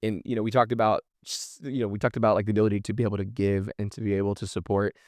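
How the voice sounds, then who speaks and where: A man's voice: on the low side, level tone, racing, darkish tone, dry recording, clean studio sound. A man chatting casually as a podcast guest, earnest and encouraging in his manner.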